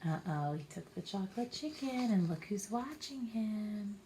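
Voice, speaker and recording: A woman's voice: medium pitch, animated, a little slow, background noise. A woman reading a story aloud expressively, as if to young children. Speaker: storytime reader. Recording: roomy echo.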